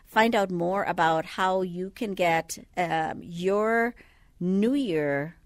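A woman physician from the Bahamas speaks in a fairly low voice, calm and patient as she walks listeners through her advice. The studio sound is dry and close. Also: takes it slowly, dry recording. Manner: calm, patient, explains step by step. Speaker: sleep physician guest. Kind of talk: explanatory radio interview. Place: radio studio. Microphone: studio microphone.

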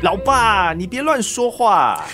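High-voiced, eager young sidekick son. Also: male voice, slow, full character voice. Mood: protesting. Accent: Singaporean. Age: young adult. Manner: excitable, stammering when put on the spot. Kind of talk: Mandarin radio drama acting. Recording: music behind the voice.

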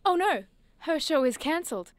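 Actress as an eager, high-voiced bookish young woman. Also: sing-song, moderate pace, no echo. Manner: hesitant, stammering, earnest, polite. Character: eager, bookish, curious young woman, earnest nature lover. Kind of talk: audio drama acting.

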